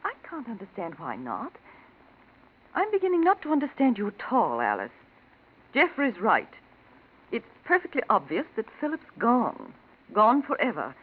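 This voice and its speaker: An actress playing a well-meaning, fussing and impatient friend in a 1940s radio mystery, genteel and emphatic. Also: female voice, a little high, wildly swooping pitch, moderate pace, slightly rough, full character voice. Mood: exasperated concern. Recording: tinny, noisy 1940s radio recording.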